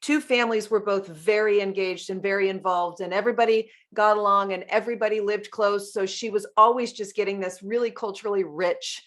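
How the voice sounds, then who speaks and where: A woman's voice: medium pitch, fairly even intonation, a little fast, few pauses, a bit bright. A woman hosting a podcast interview, asking long, effusive questions and telling family stories. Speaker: podcast host. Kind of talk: interview conversation.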